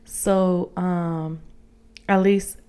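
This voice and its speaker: Woman, podcast co-host, slightly low pitch, soft mellow tone, casual chat, thinking aloud. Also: level tone, extremely slow, clear, resonant tone.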